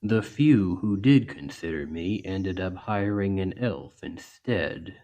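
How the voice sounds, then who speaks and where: A man voicing a large, gentle orc healer in an ASMR roleplay, speaking in an earnest, measured and reassuring way. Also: low voice, lively intonation, full character voice. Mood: resigned, steady. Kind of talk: ASMR roleplay, soft storytelling to an injured listener.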